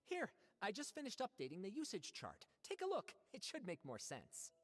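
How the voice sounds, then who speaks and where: An actress playing an exasperated, emphatic young worker in a video game. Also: female voice, medium pitch, normal speed, in a large hall. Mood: explaining. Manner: expressive, emphatic. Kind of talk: game voice acting.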